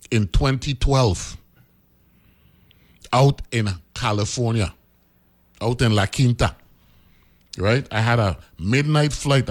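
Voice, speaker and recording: A middle-aged man from the Virgin Islands with a Virgin Islands accent, a radio host telling a story in casual commentary and often tagging his sentences with "right?". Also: on the low side. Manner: conversational storytelling, keeps asking "right?".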